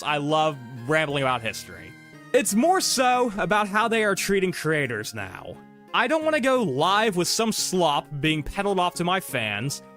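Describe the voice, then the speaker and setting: A man ranting sarcastically about his frustrations, his voice hoarse from being sick. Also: high-pitched, bright, forceful tone. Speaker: content creator. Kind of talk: ranting commentary video.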